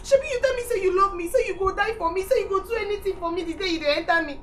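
A woman's voice: extremely high pitch, very fast, a bit bright. A woman with a Nigerian accent playing a betrayed, furious young lover in a Pidgin comedy-drama, wailing and scolding. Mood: hurt, accusing. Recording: distant mic with faint noise.

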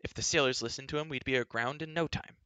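A man's voice: medium pitch, quick pace, sharp tone, smooth, dry recording, highs cut off, voice pushed up, lightly acted. A man voicing a resentful, hot-headed young pig keeper in a storybook, muttering and bitter. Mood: scornful. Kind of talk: audiobook character voice.

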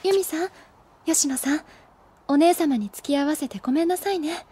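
A woman playing a polite, gentle, reserved teenage schoolgirl in an anime audio drama. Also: high-pitched, moderate pace, natural voice.

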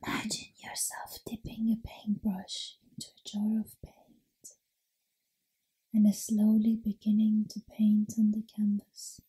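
A woman leading an ASMR guided meditation in a soothing, unhurried, mostly whispered delivery. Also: medium pitch, completely flat delivery, mellow, slightly rough, rough recording.

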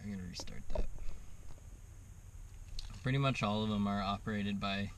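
A man's voice: lowish voice, steady tone, moderate pace. A young man vlogging on a road trip in a casual, rambling way with lots of fillers, recorded on an action camera in his car and by the sea. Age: young adult. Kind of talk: casual travel vlog. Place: inside a car and outdoors by the sea. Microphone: action camera on dashboard or held at arm's length. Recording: loud car and wind noise.

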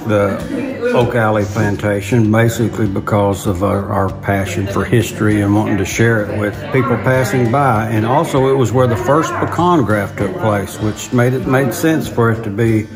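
A man with a lowish voice explains in earnest, stop-start sentences why his plantation matters to him. Loud music plays under his words. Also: moderate pace. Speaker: plantation owner. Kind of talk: interview remarks.

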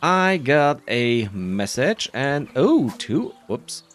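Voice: medium pitch, very animated, very slow, soft background music. A gaming creator giving casual playthrough commentary, rambling and muttering while thinking aloud.

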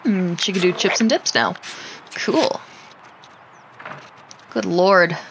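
A woman's voice: fairly low pitch, takes it slowly, gravelly, slight background noise. A woman casually commenting on her life-simulation gameplay, chatting to her sims and laughing often.

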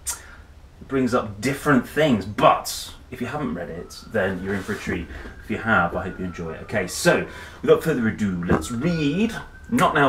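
A man in his thirties reading a picture book with playful, chatty asides and dramatic pauses. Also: mid-range voice. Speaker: storyteller and host. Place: small wooden room or shed. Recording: roomy echo, faint background noise.

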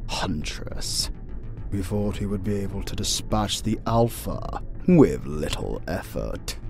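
Man playing a very deep, solemn vampire warrior. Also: vocal fry, heavily acted. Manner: measured, formal. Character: solemn, loyal male vampire elite warrior. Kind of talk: dark fantasy audio roleplay narration.

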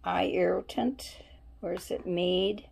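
A woman's voice: lowish voice, slow pace, gravelly, darkish tone, a little hiss or hum. A woman at home showing her soap haul on camera, chatting and musing aloud as she reads labels. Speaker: vlogger. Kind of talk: casual shopping haul show-and-tell. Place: at home, indoors.

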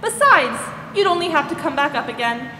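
A woman's voice: high voice, big pitch swings, a little fast, sharp tone, exaggerated voice. A young woman playing a polite, sympathetic young social worker in a 1940s-style radio drama on stage. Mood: polite, helpful. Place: large theatre stage, indoors. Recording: echoey stage hall, faint noise.

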